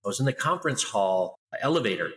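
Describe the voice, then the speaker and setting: A middle-aged man talking loudly and with lively energy as a guest in an interview. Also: mid-range voice, fast talker, in a large hall. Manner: loud, animated storyteller. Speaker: guest, international school educator. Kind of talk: conversational interview.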